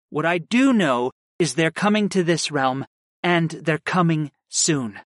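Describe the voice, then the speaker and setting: A narrator voicing a stern, secretive aunt who speaks sharply and impatiently. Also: female voice, fairly low pitch, a bit bright, no echo, studio quality, pitched above the performer's own voice. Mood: urgent.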